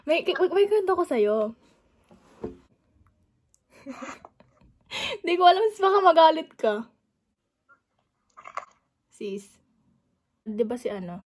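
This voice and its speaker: A woman vlogger chatting casually on prank calls to friends, with a giggly, animated manner. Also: extremely high pitch, slow pace, pauses often. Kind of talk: casual chat on the phone.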